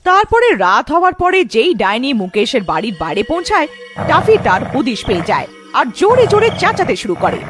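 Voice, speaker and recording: A woman's voice: high voice, wildly swooping pitch, fairly quick, over music. A woman narrating a scripted cartoon story.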